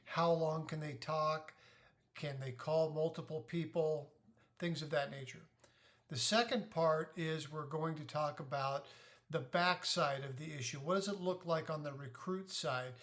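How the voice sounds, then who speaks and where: A middle-aged man giving an informal explainer as a parent sharing advice, deliberate and methodical in how he lays things out. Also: a little high, fairly even intonation, a bit bright. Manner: deliberate, methodical, poses questions then answers them.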